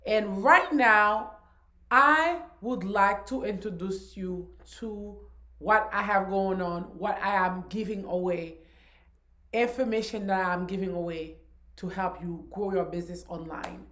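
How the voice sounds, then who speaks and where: Haitian-born woman, business coach, unhurried teaching delivery, medium pitch. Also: bright, forceful tone. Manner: slow, deliberate, encouraging. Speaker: business strategist. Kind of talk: course overview presentation.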